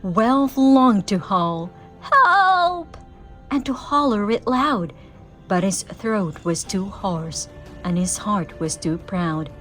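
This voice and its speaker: A woman giving an expressive, theatrical read-aloud of a rhyming children's story. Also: medium pitch, slow pace, soft background music. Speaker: storybook reader.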